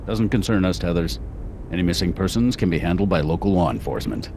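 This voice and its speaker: A brusque government boss in a video game, speaking in a very low voice. He is glib, folksy and dismissive with his underling. Clear noise rumbles behind him. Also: expressive, quick pace, darkish tone. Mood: curt, dismissive. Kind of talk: animated video game cutscene acting.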